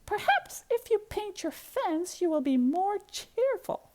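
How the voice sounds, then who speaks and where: A prim barnyard hen played by a woman reader, pitched very high and swooping. She is tidy, bossy and easily flustered, insisting in clucking exclamations. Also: sing-song, slow pace, darkish tone, exaggerated voice. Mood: coaxing.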